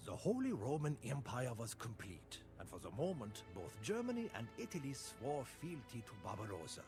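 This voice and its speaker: An actor playing a grave storyteller who narrates medieval history for a game, in a theatrical, measured delivery. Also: male voice, medium pitch, huge pitch swings, darkish tone. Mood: solemn, dramatic. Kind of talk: scripted dramatic storytelling narration. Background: music.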